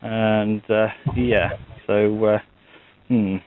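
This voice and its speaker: A man on the phone who talks in a halting, hesitant way, full of ums and apologies. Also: on the low side, flat delivery. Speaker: prank caller. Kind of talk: phone prank call. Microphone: telephone. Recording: tinny phone line.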